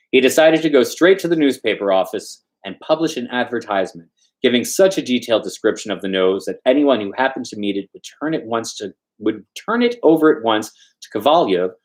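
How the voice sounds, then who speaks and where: A man reading a story aloud informally as host, stumbling over words now and then and slipping in loose asides. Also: mid-range voice.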